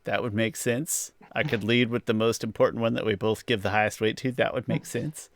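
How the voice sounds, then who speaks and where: A man's voice: lowish voice, fairly even intonation, fairly quick, no room sound. A man hosting a podcast, chatting casually through a review in an informal, self-deprecating way and thinking aloud. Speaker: podcast host.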